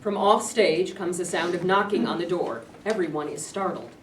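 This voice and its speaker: A woman's voice: fairly low pitch, normal speed. A woman reading the stage directions aloud in a plain, matter-of-fact way. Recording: echoey room, read from far off, noisy.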